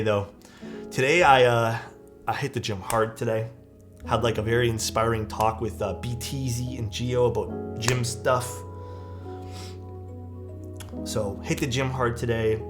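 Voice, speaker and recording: A young man, chatting casually as a livestream host, excitable and rambling with lots of "like" and "dude". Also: medium pitch. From Canada. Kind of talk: casual livestream chat, reading chat messages. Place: his room at home. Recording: speech over background music. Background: background music.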